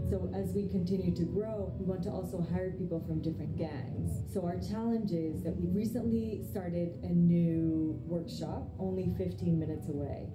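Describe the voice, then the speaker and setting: A woman from El Salvador, a company founder, calmly and earnestly telling her story in a brand video. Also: lowish voice, poor audio. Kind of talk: testimonial narration in a brand video. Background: music.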